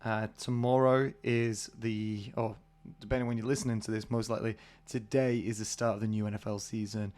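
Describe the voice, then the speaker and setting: Husky British man with a cold. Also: fairly low pitch, little pitch movement, brisk, darkish tone. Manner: rambling, chatty, many fillers. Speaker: podcast host. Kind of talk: casual solo podcast talk.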